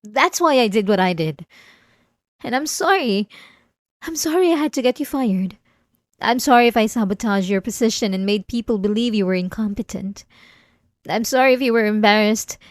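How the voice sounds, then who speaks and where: A woman playing an obsessive, possessive wife in an intimate ASMR roleplay, speaking in a halting, repetitive, coaxing and manipulative way. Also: on the high side, no echo, studio quality, natural voice. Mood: falsely apologetic, controlling. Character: obsessive, possessive, delusional adult wife, sweetly menacing.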